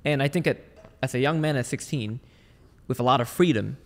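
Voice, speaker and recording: A man's voice: medium pitch, fairly quick, big-room sound. A man from Malaysia telling his story casually as a podcast guest, in an informal, conversational way. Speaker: podcast guest, sales trainer and entrepreneur. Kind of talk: casual personal storytelling in an interview.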